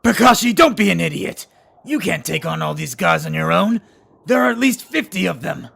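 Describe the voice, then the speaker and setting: A man voicing a stern, hot-headed alien war commander in an animation, with a gruff, commanding and impatient delivery. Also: high voice, lively intonation, moderate pace, pushed and bright, full character voice. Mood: defiant, alarmed. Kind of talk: amateur animation voice acting.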